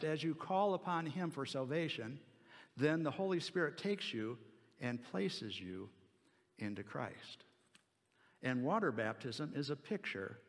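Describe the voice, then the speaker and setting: A man preaching an expository sermon in a deliberate, explanatory way, pausing between short phrases. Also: mid-range voice. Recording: long hall reverb.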